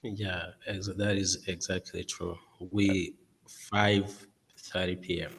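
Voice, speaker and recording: A man's voice: deep voice, flat delivery, takes it slowly, gravelly, a bit bright. A man in his twenties from Rwanda, a podcast guest talking earnestly from his office over a video call, with many fillers and restarts. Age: 20s. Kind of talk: podcast interview.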